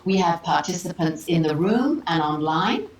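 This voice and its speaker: A woman's voice: fairly low pitch, animated, normal speed. A woman welcoming an audience as host of an academic symposium, speaking informally and conversationally with casual asides. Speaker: symposium host giving opening remarks. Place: seminar room with audience. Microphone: worn microphone. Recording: roomy echo, audible background noise.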